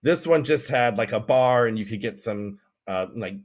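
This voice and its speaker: A man's voice: medium pitch, moderate pace. Male podcast co-host, middle-aged, mid-range voice. Casual, chatty storyteller with a jokey streak. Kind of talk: casual conversational podcast chat.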